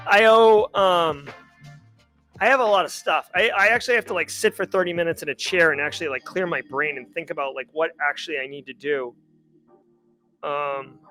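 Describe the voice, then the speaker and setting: Forties male host, chatty and self-correcting, upbeat, bright tenor. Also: high-pitched. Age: 40s. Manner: rambling, upbeat, restarts and corrects himself often. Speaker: livestream host answering chat questions. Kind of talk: casual livestream chat.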